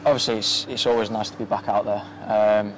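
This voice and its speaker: Young male footballer, flat low delivery, halting, outdoor handheld-mic interview. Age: young adult. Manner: soft-spoken, many ums and restarts. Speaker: professional footballer. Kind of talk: post-match interview. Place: outdoors at a floodlit football ground at night. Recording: outdoor ambience with faint noise.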